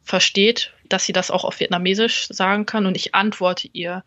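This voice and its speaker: A woman's voice: medium pitch, steady tone, moderate pace, fairly bright tone, dry recording, dull audio. A woman who is a stand-up comedian, guest on a casual podcast, explaining her views thoughtfully and at length and laughing easily. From Germany.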